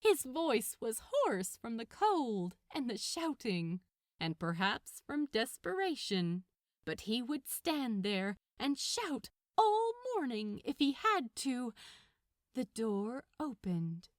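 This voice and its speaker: A woman's voice: a little high, big pitch swings, takes it slowly, dry recording, studio quality. A woman narrating a piece of fiction expressively, as a scripted audiobook-style reading.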